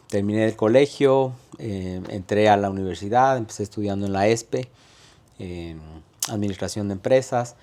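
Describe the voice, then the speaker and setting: A man with an Ecuadorian accent in his thirties, a guest telling his life story in an interview, pausing often on fillers and restarting phrases. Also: deep voice, darkish tone. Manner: hesitant, many "eh" fillers and restarts. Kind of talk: conversational interview.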